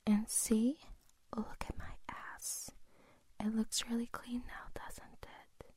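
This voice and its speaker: A woman's voice: fairly high pitch, level tone, slow pace, warm tone. A woman whispering in a teasing way, playing a girlfriend in an intimate audio roleplay.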